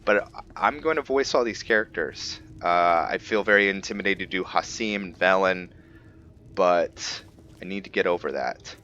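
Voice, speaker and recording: A man's voice: low voice, moderate pace, bright, forceful tone. A man giving casual, improvised game commentary, musing aloud to himself in a tentative way. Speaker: gaming video host. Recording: muffled, with clear background noise.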